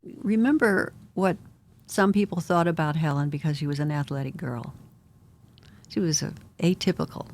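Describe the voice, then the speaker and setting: A guest storyteller retelling history in a brisk, matter-of-fact way. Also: mid-range voice, slight background noise. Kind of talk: storytelling narration.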